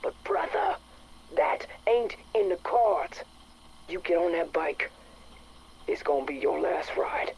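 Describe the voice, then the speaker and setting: An actor playing a blunt, world-weary biker friend, pleading and resigned, in a game audio drama. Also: male voice, sits high, wildly swooping pitch, slow, long pauses, heavily acted, poor audio. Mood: urgent, blunt. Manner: blunt, pleading, rough-edged. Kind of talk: game radio drama dialogue.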